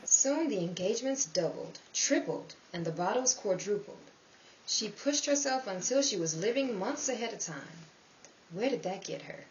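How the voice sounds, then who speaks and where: A woman's voice: medium pitch, expressive, moderate pace, close to an everyday voice. Knowing streetwise female narrator acted by a woman, world-weary 1930s radio noir, dramatic scripted delivery, distant dull recording. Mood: wry, ominous. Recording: echoey, distant mic, dull, faint hiss.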